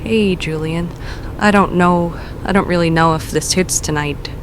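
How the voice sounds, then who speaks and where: Lady doing a nervous caller, halting, kinda low voice, bit of hiss. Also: steady tone, close to an everyday voice. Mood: uneasy. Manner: hesitant, halting, self-deprecating. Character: nervous, self-doubting woman caller.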